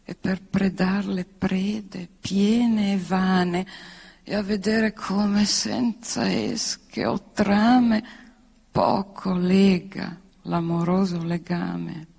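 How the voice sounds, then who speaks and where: A woman declaims poetry in a theatrical style with extremely slow, deliberate delivery. Her voice is husky, and it carries through a long hall reverb. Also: medium pitch, darkish tone. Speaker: poet reading own work. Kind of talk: theatrical verse recitation.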